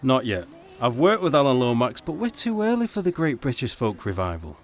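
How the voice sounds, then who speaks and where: A man's voice: medium pitch, big pitch swings, fairly quick. An actor playing a dry, self-assured male playwright and folk singer in a radio drama. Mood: dry, laconic. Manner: dry, laconic.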